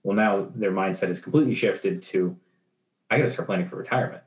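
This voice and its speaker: A man's voice: low voice, fast. A man in his twenties talking in a rambling casual discussion, with many fillers and restarts. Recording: roomy echo.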